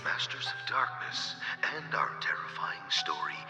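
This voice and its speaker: A man delivering an ominous, dramatic show introduction in a slow, deliberate manner. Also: in a whisper. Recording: echoey long reverb under loud music.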